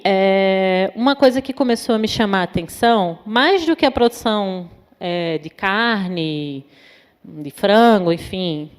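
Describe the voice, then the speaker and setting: A woman from Natal with a northeastern Brazilian accent, a psychologist giving an informal talk at a university event, pausing often with fillers. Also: medium pitch, a little mellow. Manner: many fillers, thoughtful and hesitant. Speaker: invited speaker, psychologist. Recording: long hall reverb.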